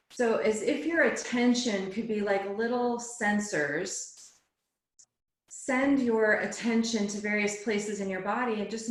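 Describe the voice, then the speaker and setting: A woman yoga teacher guiding a relaxation and meditation class in a soothing, unhurried way, phrase by phrase. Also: a little high. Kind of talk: guided relaxation and meditation instruction. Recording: echoey, roomy sound.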